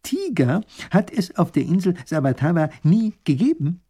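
A man's voice: sits high, big pitch swings, normal speed, mellow, husky, voice pushed up, heavily acted. A man voicing a fussy, hesitant old male scholar who stammers and fills his speech with ums. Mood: fretful.

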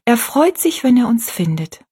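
A woman's voice: fairly high pitch, sing-song, normal speed, warm tone, breathy edge, pitched above the performer's own voice, heavily acted. A woman voicing an eager, sweet young girl who talks earnestly and pleadingly.

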